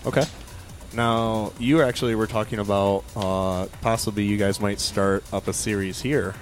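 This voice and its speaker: A man hosting a casual radio interview, asking relaxed questions. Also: lowish voice, normal speed. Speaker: radio host. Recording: music playing underneath.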